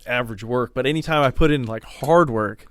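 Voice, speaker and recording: A man's voice: mid-range voice, a little fast, fairly bright tone, clear, resonant tone, dry recording. A young man co-hosting a podcast. He chats casually, swears a lot, and trails off. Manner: laid-back, swears often, trails off. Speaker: podcast co-host. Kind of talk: casual chat.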